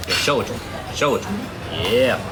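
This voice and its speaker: A man's voice: medium pitch, very animated, quick pace. A man hosting a casual eating livestream at an outdoor restaurant table, chatty and slangy, repeating himself as he talks. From China. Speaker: livestream host. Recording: loud outdoor noise.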